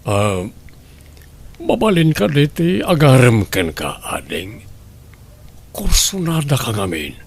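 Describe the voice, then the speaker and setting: A man's voice: mid-range voice, big pitch swings, slow, creaky, long pauses, husky, full character voice. A man playing a rich suitor with a courtly, flirtatious way of talking in a comedy radio-style drama. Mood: courting.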